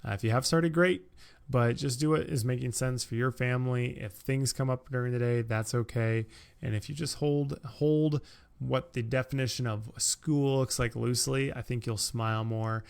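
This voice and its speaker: Easygoing man with gravelly creak. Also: medium pitch, a little mellow. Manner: easygoing, reassuring. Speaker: podcast host. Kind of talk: casual chat.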